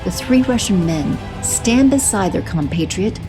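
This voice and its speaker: A woman narrating a superhero action audio drama in a steady, descriptive storytelling manner. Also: mid-range voice, unhurried, over music.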